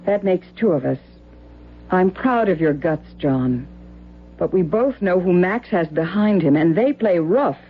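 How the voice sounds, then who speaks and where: A woman's voice: fairly low pitch, animated, a little slow. An actress playing an anxious, loyal wife and mother in a radio drama, earnest and pleading. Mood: worried, proud. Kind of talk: vintage radio drama acting. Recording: dull old radio recording with hum.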